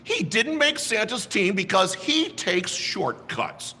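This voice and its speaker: A man's voice: sits high, big pitch swings, slow pace, bright, forceful tone, in a large hall, pitched above the performer's own voice, exaggerated voice. A man reading aloud, voicing a doubtful young female helper who protests.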